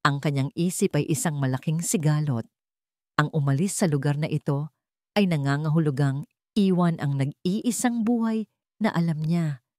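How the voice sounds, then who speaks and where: A Filipino woman reading a scripted romance story in a measured, emotive storytelling manner. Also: on the low side, darkish tone, no echo, clean studio sound. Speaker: story narrator.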